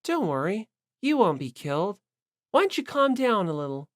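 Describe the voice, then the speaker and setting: A man voicing a cool, composed teenage girl in an audiobook, blunt, flat and matter-of-fact. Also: high voice, moderate pace, no room sound, studio quality, voice pushed up. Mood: calm.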